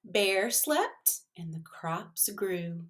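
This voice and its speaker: A woman's voice: mid-range voice, animated, drawn-out words. A woman reading a children's storybook aloud expressively, addressing her young listeners as she goes.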